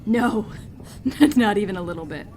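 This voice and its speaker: A woman playing an unimpressed, curt nurse on a space station in a sci-fi comedy audio drama, businesslike and deadpan. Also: medium pitch, measured pace, slight background noise, natural voice.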